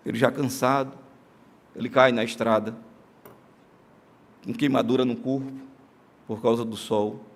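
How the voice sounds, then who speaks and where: A male pastor with a Brazilian accent preaching a sermon in a church, earnest, moving between emphatic declarations and soft, slow solemn passages. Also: medium pitch, steady tone. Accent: Brazilian Portuguese. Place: church sanctuary during a live-streamed service.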